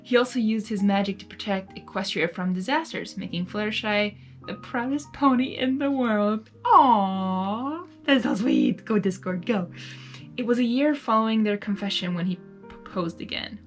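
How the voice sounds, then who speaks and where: A woman hosting and narrating a live story reading in an expressive, giggly manner, reacting aloud as she goes. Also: medium pitch, normal speed, faint music behind. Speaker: fan fiction reader and host.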